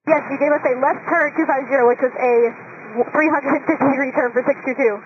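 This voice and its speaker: Higher-voiced woman pilot on noisy radio. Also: steady tone, fast talker. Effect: radio transmission. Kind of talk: aviation radio readbacks. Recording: muffled, noisy aviation radio.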